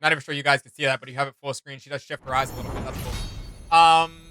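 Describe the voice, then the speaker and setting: Young man, bright forward voice, casual enthusiastic reaction commentary with music behind. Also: mid-range voice, steady tone, quick pace. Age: young adult. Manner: enthusiastic, slangy. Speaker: reaction video host. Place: indoor home studio room.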